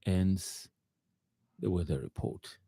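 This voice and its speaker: Male radio news presenter, dark low tone, hesitant scripted delivery. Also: creaky, husky, dry recording, clean studio sound. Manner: halting, hesitant reading. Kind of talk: scripted news bulletin reading.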